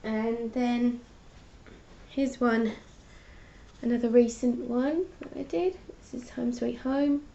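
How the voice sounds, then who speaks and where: A woman's voice: fairly high pitch, steady tone, slow pace, gravelly, dark tone, highs cut off, slight background noise. A woman artist with a cold and a stuffy voice, chatting casually as she hosts her own live stream and explains her paintings. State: congested with a cold. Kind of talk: casual art chat, showing paintings.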